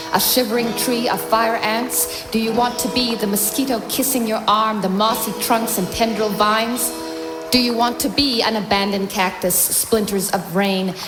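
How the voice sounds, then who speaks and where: A woman reading surreal poetry aloud in a slow, measured, incantatory way. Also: mid-range voice, fairly even intonation. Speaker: poetry reader. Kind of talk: spoken-word poetry reading. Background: music soundscape.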